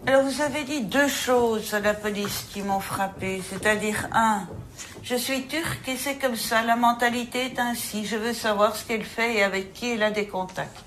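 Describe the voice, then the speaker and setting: A woman's voice: on the high side, normal speed, fairly bright tone, a little hiss or hum. A woman prosecutor, questioning a suspect firmly in her office. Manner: stern, firm, pointed questions.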